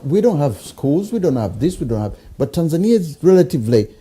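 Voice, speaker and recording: Man, interviewer, dark mellow tone, husky, halting questions. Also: on the high side, big pitch swings. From Uganda. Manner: searching, hesitant, frequent backchannel agreement. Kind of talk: conversational interview.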